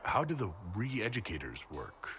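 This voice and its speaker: A man playing a composed, earnest fantasy hero in a video game, measured and inquisitive. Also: fairly low pitch, wildly swooping pitch, a bit bright, slight background noise. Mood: curious, wary.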